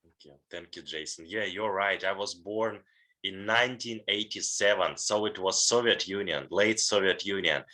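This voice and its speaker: Russian guy in his 30s, bright voice, earnest, stops and hunts for words. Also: deep voice. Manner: earnest, halting, searches for words. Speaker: guest.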